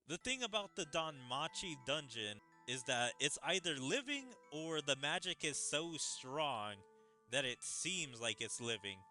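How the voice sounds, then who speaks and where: A man's voice: fairly high pitch, sing-song, a little slow, sharp tone. A man streaming a game, casually thinking aloud about its story. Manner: musing, thinking aloud in questions. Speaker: gaming streamer. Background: mallet-percussion game music.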